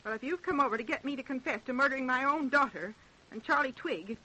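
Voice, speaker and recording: An American actress, a woman, playing a defensive, indignant middle-aged mother in an old-time radio drama, with a sharp, theatrical delivery. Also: sits high, quick pace, low-quality recording. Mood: bitter, defensive. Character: defensive, indignant mother suspected of murdering her daughter.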